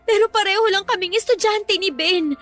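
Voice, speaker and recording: An earnest young student in a radio drama. Her voice is very high, and she pleads with respectful but firm conviction. Soft music runs underneath. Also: brisk. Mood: tearful pleading. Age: young adult. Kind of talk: Tagalog radio drama acting.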